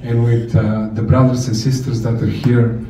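Middle-aged Bulgarian-accented man, dark mellow tone, animated memorial talk, heard from across a reverberant hall. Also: medium pitch, moderate pace. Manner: animated, gesturing, laughing storyteller. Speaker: eulogy speaker, missionary. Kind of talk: memorial speech. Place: large event hall, indoors. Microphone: handheld mic through room speakers. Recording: far-off echoey hall, faint noise.

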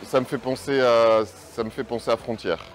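A man's voice: mid-range voice, steady tone, normal speed, music in the background. A middle-aged man, an author, giving a hesitant, unscripted interview answer. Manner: hesitant, searching for words. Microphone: lapel mic.

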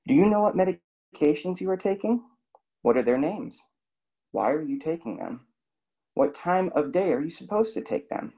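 A man's voice: a little high, lively intonation, normal speed, mellow, husky. A man reading a scripted health lesson for a clinic slideshow, explaining steadily and putting questions to the listener.

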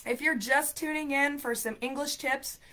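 A woman hosts a livestream from her home room through a webcam. Her slightly high voice is raspy from a cold, and she talks in an animated, chatty, playful way, telling stories to her viewers. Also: normal speed. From United States. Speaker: ESL program coordinator and show host. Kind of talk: livestream talk and storytelling. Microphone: laptop webcam, video call framing.

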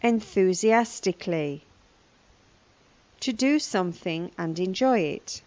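A woman's voice: mid-range voice, animated, takes it slowly, darkish tone, no echo, muffled recording. A woman giving scripted lesson narration, reading vocabulary and a news report. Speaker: language lesson presenter.

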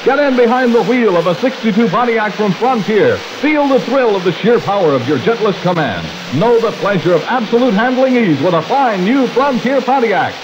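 Animated, high-voiced American radio ad man. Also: normal speed, few pauses. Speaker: radio commercial announcer. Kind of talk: 1960s radio car advertisement read. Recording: dull, noisy 1960s AM radio aircheck.

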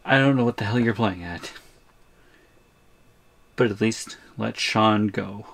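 A woman's voice: extremely low pitch, measured pace, gravelly, exaggerated voice. A woman playing a frightened, pleading young man held captive, in an emotional ASMR audio roleplay. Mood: grieving, resigned.

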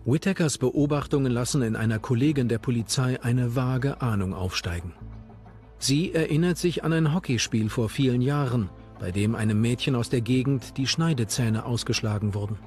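Guy doing scripted true crime doc narration, normal pitch, bit of music behind. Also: moderate pace, darkish tone. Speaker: documentary narrator. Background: background music.

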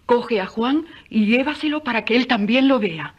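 Husky, gentle lady of the house. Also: a little high, lively intonation, moderate pace. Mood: gentle, insistent. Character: gentle, generous lady of the house, new mother. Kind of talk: radio drama acting. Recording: dull, muffled sound.

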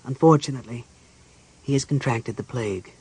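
Man as a husky, scheming archmage. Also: medium pitch, darkish tone, natural voice, low-quality recording. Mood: persuasive, grave. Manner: measured, commanding, formal. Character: cold, controlled, scheming male archmage.

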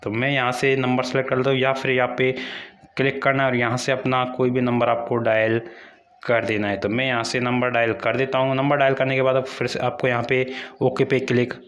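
A man's voice: mid-range voice, level tone, brisk, fairly bright tone, muffled recording. An Indian man hosting a tech channel, giving a step-by-step app tutorial in voice-over and addressing viewers directly as friends.

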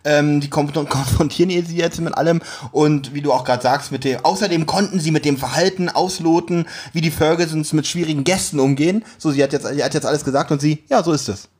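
A man with a somewhat raised, husky voice co-hosts a podcast. He retells a story in loose, conversational chat. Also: fairly high pitch, fairly quick, few pauses, darkish tone. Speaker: podcast co-host. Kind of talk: casual podcast chat retelling an audio drama.

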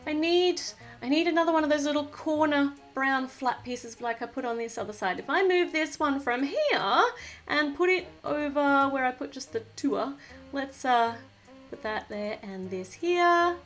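Chatty high-voiced woman building on a tabletop. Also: animated, normal speed, a bit bright. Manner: chatty, thinks aloud, gushes over cute details. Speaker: toy building vlogger. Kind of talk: casual build commentary.

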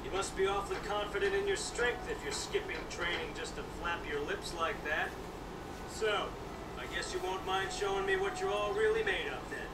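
Pompous drill instructor, swooping high voice. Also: male voice, big pitch swings, moderate pace, vocal fry, heavy background noise, exaggerated voice. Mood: haughty contempt. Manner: pompous, haughty, barking. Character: stern, arrogant militant drill instructor, contemptuous man. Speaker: military trainer character. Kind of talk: video game voice acting. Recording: game audio with loud ambience, roomy, distant.

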